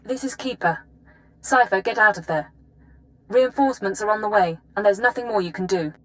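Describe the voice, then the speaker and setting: An actress playing a brisk, authoritative female intelligence chief briefing an agent in a video game. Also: medium pitch, fairly bright tone, close to an everyday voice, low-quality recording. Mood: urgent. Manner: crisp, authoritative.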